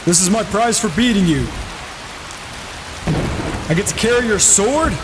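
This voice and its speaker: A man's voice: sits high, expressive, measured pace. A man playing a proud, brash wandering warrior in a fantasy game roleplay, challenging and sardonic, on a noisy microphone. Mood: sardonic, grumbling. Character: proud, cocky, reclusive male wandering warrior. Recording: noisy microphone with rain and thunder.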